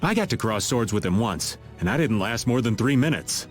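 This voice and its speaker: A man's voice: lowish voice, animated, a little fast, faint music behind, natural voice. A man voicing an easygoing, joking rogue in a video game. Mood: candid.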